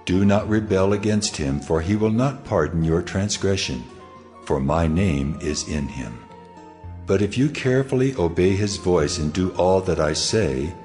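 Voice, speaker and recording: A very low, solemn male voice of God acted by a man in a dramatized audio Bible. He pronounces law with measured authority and command, with music running underneath. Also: a little mellow, natural voice. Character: God of Israel, solemn commanding lawgiving deity.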